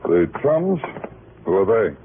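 An actor playing a sardonic, tough police detective, clipped and wry, in a 1950s radio noir drama. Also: male voice, on the low side, sing-song, takes it slowly, noisy background. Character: hard-boiled, sardonic male New York police detective. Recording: narrow-band old radio recording, loud music.

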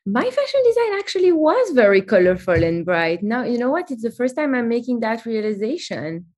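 A woman's voice: a little high, big pitch swings, a little fast, a little mellow. Woman, 30s, chatty storyteller with fillers, for an interview guest. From Belgium. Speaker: guest, company CEO. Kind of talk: remote interview chat.

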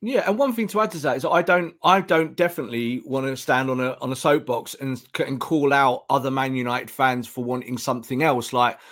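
Male podcast co-host, earnest fan opinions, voice a bit hoarse. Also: mid-range voice, steady tone, a little fast, hardly any pauses, fairly bright tone. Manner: earnest, opinionated, frequent restarts. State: losing his voice. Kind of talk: casual football fan discussion.

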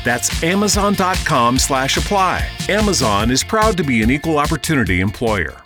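A man's voice: medium pitch, big pitch swings, normal speed. A man reading a scripted radio commercial as an announcer. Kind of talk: scripted job recruitment radio ad. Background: music.

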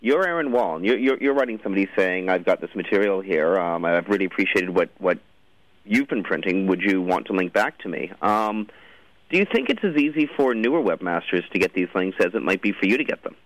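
A man's voice: low-pitched, expressive, brisk, no echo, rough recording. A man hosting an interview show, asking his questions in a conversational style. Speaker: radio show host, interviewer.

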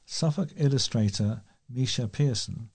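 Male British amateur newsreader, warm relaxed tone, scripted local stories with the odd stumble. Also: mid-range voice, a little slow, gravelly, slightly rough. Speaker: volunteer newsreader. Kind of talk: scripted local news reading.

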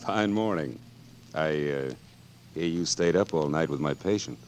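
Slow, deep-voiced genial old frontier doctor. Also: male voice, slight background noise. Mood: genial, tender. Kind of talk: 1940s radio acting. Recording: vintage radio broadcast, music behind.

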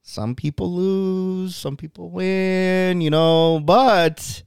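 A man's voice: high-pitched, steady tone, very slow, gravelly, a little mellow, smooth, no echo, studio quality. A man co-hosting a podcast in casual chat, laid-back, swearing freely and laughing often. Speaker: podcast co-host.

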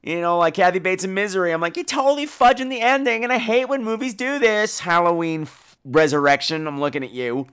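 A man hosting a podcast, giving casual, opinionated and profane film commentary in an animated way. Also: sits high, fairly quick, clean tone. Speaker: podcast host.

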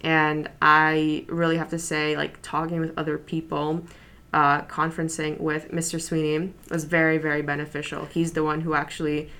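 High school girl, Polish first language, low voice, relaxed and chatty, talks about herself in an interview. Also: normal speed. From New York City, USA. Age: high school senior. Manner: relaxed, chatty, frequent fillers and soft laughs. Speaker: interview guest, student. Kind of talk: casual interview.

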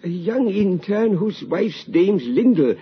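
Hesitant doctor, high pitch, swooping intonation, stammering, deferential, old hissy radio drama. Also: male voice, lively intonation, takes it slowly. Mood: hesitant, helpful. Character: hesitant, obliging male hospital doctor. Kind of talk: 1950s radio drama acting. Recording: muffled old radio recording with hiss.

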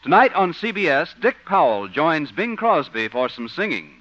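Lively-pitched male vintage radio announcer. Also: normal speed, no echo. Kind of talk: scripted 1950s radio announcing and narration. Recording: muffled old radio broadcast. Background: music.

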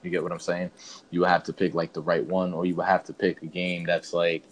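Deep voiced guy, casual podcast chat, kinda hesitant, some background noise. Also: level tone, a little fast, low-quality recording. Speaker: podcast co-host.

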